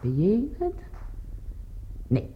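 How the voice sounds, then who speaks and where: Brazen washerwoman with lively swoops. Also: medium pitch, drawn-out words, dull audio, exaggerated voice. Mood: evasive, innocent. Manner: folksy, theatrical, defiant. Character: brazen, shrewd working-class washerwoman, feisty mother. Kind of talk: 1950s German radio comedy acting.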